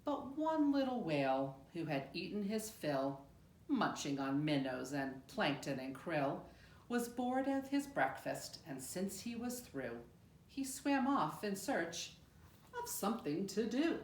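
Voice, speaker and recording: A woman reading a rhyming picture book aloud in an expressive, measured storytelling manner with deliberate pauses. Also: fairly low pitch. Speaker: storybook reader. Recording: roomy, echoing room sound.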